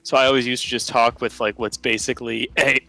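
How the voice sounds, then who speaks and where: A man's voice: mid-range voice, a little fast, gravelly, sharp tone. A man from Canada with a general North American accent, a podcast guest in casual chat, rambling and hesitant with lots of fillers.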